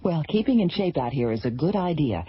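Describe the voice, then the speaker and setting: Expressive female space station director. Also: low voice, a little fast, breathy edge, no echo, full character voice. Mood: composed, friendly. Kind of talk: radio drama acting. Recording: dull, noisy vintage radio recording.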